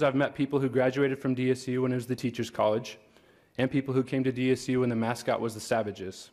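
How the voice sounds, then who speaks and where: A man's voice: medium pitch, a little mellow. A young man giving a commencement speech at a podium on a large auditorium stage, earnest with light jokes. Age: young adult. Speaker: graduating student speaker. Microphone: podium microphone.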